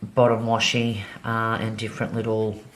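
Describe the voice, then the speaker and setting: A woman's voice: extremely low pitch, completely flat delivery, normal speed. A woman vlogger chatting casually as she decorates her planner, slightly nasal from a cold, with many ums. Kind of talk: casual plan-with-me narration while decorating.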